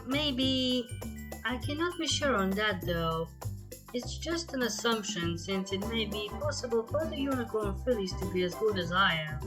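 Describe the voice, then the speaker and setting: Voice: mid-range voice, natural voice. A reader voicing a modest, thoughtful young unicorn colt who speaks in careful, formal wording for a storybook.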